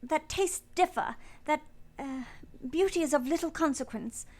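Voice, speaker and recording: An actress playing a grave, reserved, plain-spoken young governess in a radio play, earnest and polite. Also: female voice, sits high, normal speed, darkish tone, natural voice. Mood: apologetic, composed. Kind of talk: radio play acting and first-person narration.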